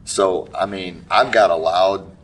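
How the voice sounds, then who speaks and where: A middle-aged man, a basketball coach giving a halting post-game interview full of restarts and fillers. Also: sits low. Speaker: high school basketball coach. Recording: distant mic with faint background noise.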